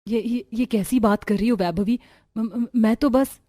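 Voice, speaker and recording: A woman voicing a timid, polite and hesitant elder sister-in-law in an animated story. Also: a little high, a little slow, close to an everyday voice. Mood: meek, polite.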